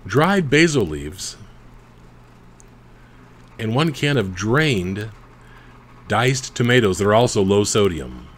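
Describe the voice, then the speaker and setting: A man's voice: lowish voice, big pitch swings, slow pace, pauses often, a little hiss or hum. A man hosting a home cooking show, explaining ingredients and measurements step by step. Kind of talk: recipe instruction voice-over.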